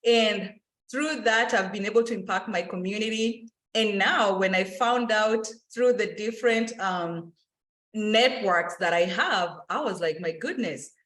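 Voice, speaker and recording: An energetic woman talks in an animated way, rushing through lists and using plenty of fillers. She is presenting as a financial educator. Her voice sits mid-pitch and carries a roomy echo. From Kenya. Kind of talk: casual enthusiastic presentation.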